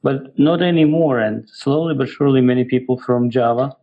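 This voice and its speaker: A middle-aged man from Ukraine with an Eastern European accent, giving halting, reflective, explanatory answers as an interview guest. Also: medium pitch, lo-fi sound. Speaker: guest, software developer.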